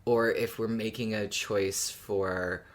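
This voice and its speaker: Podcast co-host, mid-pitched voice, thoughtful, chatty, rambling through their take in long sentences. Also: steady tone, slow pace. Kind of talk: casual podcast discussion of a story.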